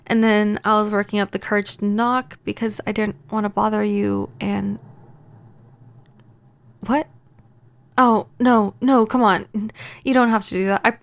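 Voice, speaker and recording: A woman playing a gentle, apologetic vampire neighbor in a soft-spoken, hesitant ASMR audio roleplay. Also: medium pitch, no echo, close to an everyday voice. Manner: hesitant, stammering, earnest.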